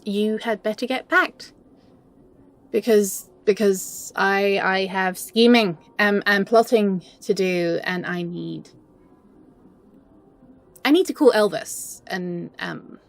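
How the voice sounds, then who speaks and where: A woman playing a flustered, smitten, playfully scheming best friend in an intimate romantic audio roleplay, speaking in halting, stammering bursts. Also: mid-range voice. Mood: giddy, tender.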